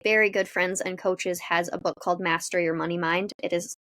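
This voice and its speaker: A woman's voice: lowish voice, steady tone, brisk, a bit bright. A woman co-hosting a podcast, giving conversational advice in an encouraging, explanatory way. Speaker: podcast co-host.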